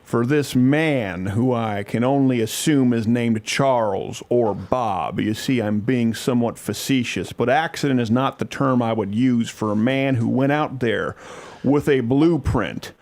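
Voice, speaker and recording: A man's voice: mid-range voice, measured pace, a little mellow, natural voice. A man playing an indignant father on a prank complaint call, pompous and wordy, with mock-formal sarcasm. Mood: condescending, sarcastic.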